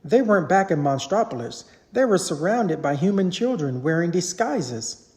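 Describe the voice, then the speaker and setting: A parent narrates a children's storybook aloud. The delivery is animated, with pitch that swings freely through each sentence. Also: normal speed.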